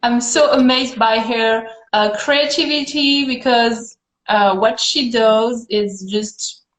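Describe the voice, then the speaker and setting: A young woman from northern France with a French accent and French as her first language, talking halting English with many fillers and soft laughs in a casual video-call chat. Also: a little high, echoey room, poor audio. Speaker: guest recipe creator.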